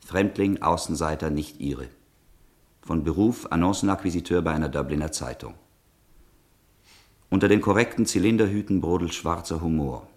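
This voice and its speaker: Male radio-drama narrator, low register, clipped scripted dramatic introduction. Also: normal speed, darkish tone.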